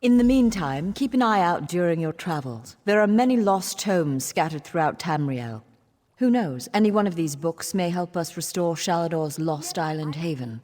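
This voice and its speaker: A scholarly fantasy-game mage, earnest and dryly wry. The voice sits low and moves expressively. It carries long hall reverb. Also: female voice, expressive, moderate pace, darkish tone. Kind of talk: fantasy video game dialogue.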